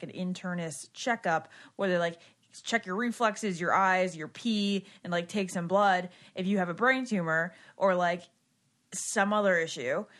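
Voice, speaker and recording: A woman's voice: on the low side, fairly bright tone. A young adult woman hosting a casual podcast chat, with a nasal, congested voice and an informal, inquisitive way of talking. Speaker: podcast host.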